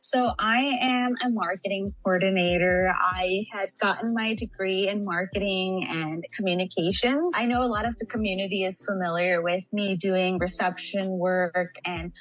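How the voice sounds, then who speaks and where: A woman's voice: mid-range voice, fairly even intonation, moderate pace, hardly any pauses, fairly bright tone, low-quality recording. A young woman from San Antonio, Texas, chatting as a podcast guest. She is bubbly and laughs often. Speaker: interview guest. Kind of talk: casual podcast interview.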